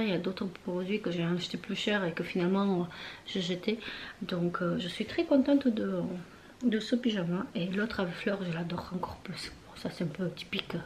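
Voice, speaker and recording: A young woman vlogging casually from her bedroom, rambling with lots of fillers and often dropping into a soft whisper. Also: mid-range voice, slight background noise. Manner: chatty and rambling, many fillers and trailing phrases. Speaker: beauty vlogger. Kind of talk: casual chat to camera.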